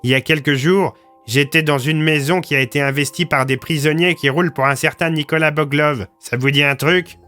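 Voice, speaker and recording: A rattled but blunt village police commissioner, acted by a man in an audio drama. His voice sits fairly high and bright, in everyday cop slang, with music underneath. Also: fairly even intonation, fairly quick. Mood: blunt, matter-of-fact. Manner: gruff slang, blunt storytelling. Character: rattled, blunt male small-town police commissioner, survivor.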